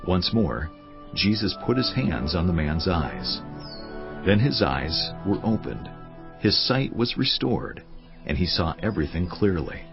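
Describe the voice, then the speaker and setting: Deep creaky bible narrator, solemn and measured, music going behind. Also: male voice, very animated, heavily acted. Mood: solemn storytelling. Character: grave male Bible narrator. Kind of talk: scripted dramatic scripture narration. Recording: dull sound under prominent music.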